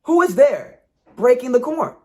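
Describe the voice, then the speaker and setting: A mischievous storybook fairy of the bush, voiced by a man, pitched high and lively. The calls ring out eagerly, with melody that swings widely. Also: measured pace, exaggerated voice. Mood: challenging. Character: cheerful, mischievous bush fairies calling out.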